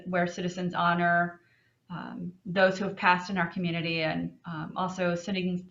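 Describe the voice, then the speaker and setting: Woman giving a city report, lots of ums, low voice, echoey dull audio. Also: fairly bright tone. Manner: halting, many fillers and restarts. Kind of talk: public meeting report.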